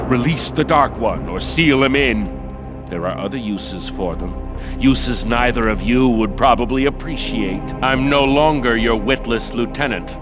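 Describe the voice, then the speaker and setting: An actor playing a scheming, vengeful villain in a fantasy video game, with a theatrical, taunting delivery. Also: male voice, mid-range voice, lightly acted. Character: scheming, vengeful man, former lackey gloating over his master. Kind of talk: dramatic fantasy game voice acting.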